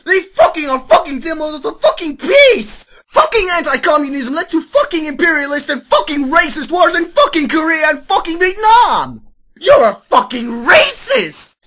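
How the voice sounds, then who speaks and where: A performer playing a zealous, self-righteous teenage schoolboy with a husky, strained voice in an amateur comedic reading. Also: sits high, big pitch swings, normal speed, bright, forceful tone, full character voice. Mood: outraged yelling.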